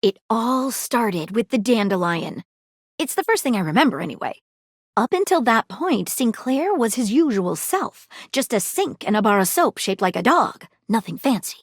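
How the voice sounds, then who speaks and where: Keen, flirty video-game sleuth who is a magnifying glass: bright high voice, very lively melody. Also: female voice, normal speed, no room sound, studio quality. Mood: intrigued. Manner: dramatic sleuth delivery, earnest and playful. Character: eager female detective magnifying glass, theatrical and flirty. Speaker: dating-game character.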